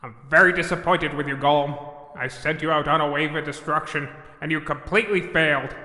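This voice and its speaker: A man's voice: fairly high pitch, brisk, bright, forceful tone. A man playing an exasperated villain in a comedic audio drama, fretting and scolding melodramatically like a disappointed parent, with deflated sighs. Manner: melodramatic, fussy scolding mixed with deflated sighing. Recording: echoey hall reverb, faint noise.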